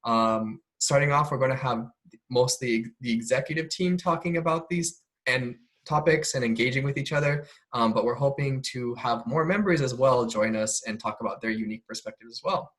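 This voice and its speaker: A young man from Oregon hosts a laid-back student podcast. He talks in an easy, chatty way, stopping often on "um" as he thinks. His voice sits at a middle pitch. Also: normal speed. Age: young adult. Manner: relaxed, chatty, frequent "um" fillers. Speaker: podcast host, club president. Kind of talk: casual podcast chat.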